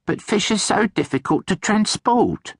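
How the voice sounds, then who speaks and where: A man voicing a fussy, excitable old hag of a witch with a shrill, exclaiming voice. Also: unhurried, creaky, slightly rough, no room sound, studio quality, full character voice. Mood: fretful.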